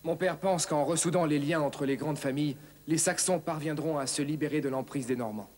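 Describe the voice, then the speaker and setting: A man's voice: fairly high pitch, brisk, darkish tone, lightly acted. A man playing an earnest, honourable young knight in a cartoon, speaking formally. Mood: regretful. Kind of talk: dubbed cartoon acting.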